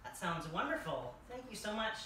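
A young adult hosts a children's story time, reading aloud in a bright voice. The recording is distant and very echoey, with faint noise behind it. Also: medium pitch, big pitch swings, moderate pace. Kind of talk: read-aloud children's storytelling in costume as a king. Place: large furnished room indoors. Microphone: distant camera microphone.

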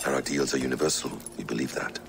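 Casting: brooding warrior assassin, low creaky voice, solemn and measured, video game cutscene. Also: male voice, fairly even intonation, noisy background. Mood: wistful. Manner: measured, solemn, sparing with words. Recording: loud game music behind.

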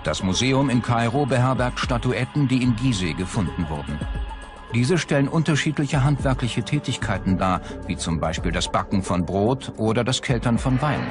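A man reading scripted documentary narration as the narrator. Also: mid-range voice. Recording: music bed under the voice. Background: background music.

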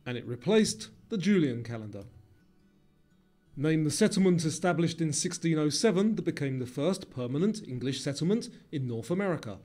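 A man reading scripted quiz questions and answers in a measured, formal way. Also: a little high, animated, dark tone. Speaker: quiz narrator. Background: background music.

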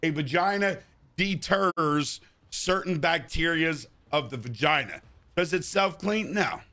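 A man's voice: fairly high pitch, expressive, a little slow, sharp tone. A man in his thirties live-streaming a game, bantering crudely with chat and swearing often. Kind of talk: casual live-stream chat while gaming. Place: indoor room at a gaming chair.

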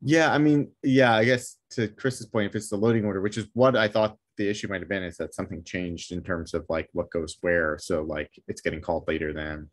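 A man's voice: deep voice, steady tone, brisk, hardly any pauses. A man on a video call describing a technical problem, hesitant and full of fillers as he thinks aloud. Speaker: meetup attendee asking for help. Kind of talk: casual video call chat.

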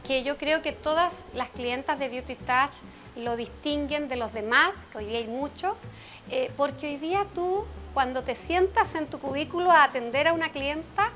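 A woman's voice: high-pitched, normal speed, a bit bright, slight background noise. A woman hosting a television interview in a studio set, introducing guests and asking leading questions. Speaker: talk show host. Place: TV studio living-room set.

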